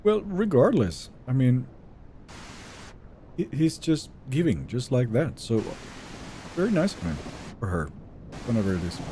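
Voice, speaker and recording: A man's voice: medium pitch, very animated, a little slow, long pauses, noisy background. A man with Finnish as his first language, streaming a game live and chatting casually as he reads out viewer messages. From Sweden. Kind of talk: casual live-stream chat while gaming.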